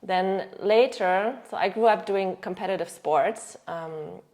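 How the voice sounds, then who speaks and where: A woman in her thirties from Germany, a yoga teacher speaking in a casual interview and pausing to search for words. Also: mid-range voice, darkish tone. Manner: thoughtful, searching for words with restarts.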